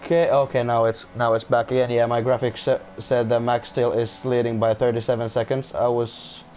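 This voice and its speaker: A man's voice: mid-range voice, flat delivery, a little fast, a little mellow, highs cut off, a little hiss or hum. A man who is not a native English speaker commentating casually on an online race, often restarting sentences and apologizing. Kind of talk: casual live sim-racing commentary.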